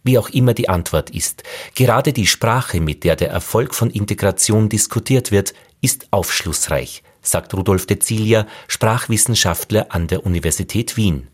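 A man narrating a radio feature in a measured, questioning way. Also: fairly low pitch, slightly rough. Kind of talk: scripted narration.

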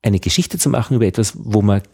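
A man with an Austrian accent chatting casually as a radio journalist, telling things in a wry, halting, rambling way. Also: on the low side, mellow. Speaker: radio journalist hosting a conversation. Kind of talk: casual conversational talk.

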